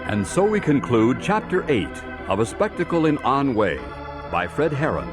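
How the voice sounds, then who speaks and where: A man's voice: medium pitch, sing-song, moderate pace, over music. A man delivering scripted, dramatic narration for an audio drama.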